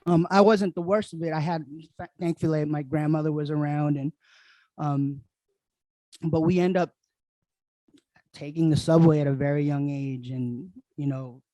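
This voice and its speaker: A man's voice: a little high, steady tone, normal speed, long pauses, mellow, no room sound, rough recording. A man, chatting casually as a podcast guest in a laid-back, streetwise way and laughing often. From Queens, New York City. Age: 40s.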